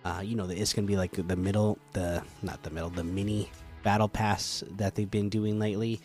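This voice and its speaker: A man hosting a casual gaming podcast, chatty and relaxed with lots of fillers, slightly hoarse from a cold. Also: on the low side, brisk, soft background music. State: catching a cold, losing voice. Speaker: podcast host.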